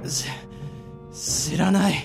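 A man's voice: slow pace, lightly acted. A man acting a stubborn, secretive captive under interrogation in an amateur radio drama, with tense dramatic delivery. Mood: strained, stubborn. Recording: long hall reverb, faint music.

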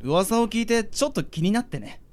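Man acting a mild young man with animated pitch. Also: fairly quick. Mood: bashful, hesitant. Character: mild, modest young working man, Hiroki. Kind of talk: amateur radio drama acting and narration.

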